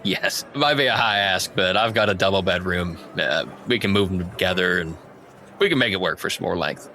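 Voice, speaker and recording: A man's voice: low voice, fairly quick, sharp tone, slight background noise. A man playing a chatty, obliging tavern keeper in a tabletop game, folksy and rambling. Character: chatty, obliging male tavern keeper sharing local legend.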